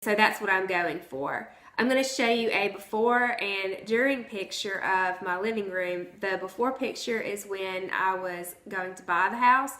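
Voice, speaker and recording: A hoarse woman talks casually to her viewers, her voice bright and forward. She sounds a little rough from the flu. The room around her rings with a noticeable echo. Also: medium pitch, measured pace, hardly any pauses. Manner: chatty, conversational. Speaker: vlogger. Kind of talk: casual chat to camera. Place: at home, indoors. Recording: roomy echo.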